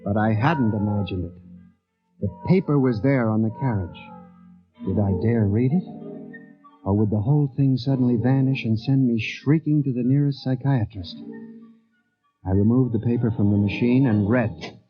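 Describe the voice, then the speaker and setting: An actor playing an earnest, anxious office statistician, with intense, dramatic delivery in the style of 1950s radio drama. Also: male voice, mid-range voice, normal speed, pauses often, soft background music, natural voice. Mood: haunted. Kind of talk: 1950s radio drama acting, first-person narration. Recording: muffled vintage 1950s radio recording.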